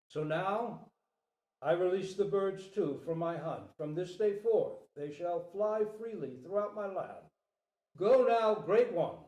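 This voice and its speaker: A man's voice: on the high side, dark tone. A man voicing a majestic human king, proud but merciful, in a formal, declamatory storybook reading. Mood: moved, yielding. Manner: grand, declamatory, formal decrees. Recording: roomy echo, distant microphone.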